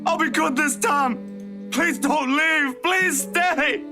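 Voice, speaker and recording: A woman voicing a terrified small child who begs desperately not to be left alone, over loud game music. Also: sits high, voice pushed up. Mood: pleading, frightened. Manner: desperate, begging, drawn-out pleas.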